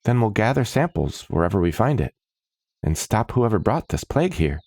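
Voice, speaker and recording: A man voicing a grave, earnest adventurer in a fantasy story, with a measured way of talking. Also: fairly low pitch, very animated, a little mellow, no echo, pitched above the performer's own voice. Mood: resolute. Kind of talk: fantasy story character dialogue.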